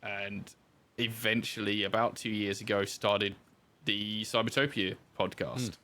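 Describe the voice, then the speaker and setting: A man speaks as a guest in a relaxed podcast interview. His voice sits fairly low but has a very bright, forward edge. He talks informally and makes light of himself as he tells his story. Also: unhurried. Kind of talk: casual interview chat.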